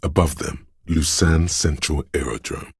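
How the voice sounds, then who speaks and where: Super deep raspy otherworldly inner voice, brooding game narration, solemn and measured like an incantation, really dry close sound. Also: male voice, fairly even intonation, no room sound, exaggerated voice. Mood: somber, wistful. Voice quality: very deep, gravelly, husky. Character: brooding, otherworldly inner voice sensing a rainy city. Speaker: video game voice actor. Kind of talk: slow, poetic second-person game narration.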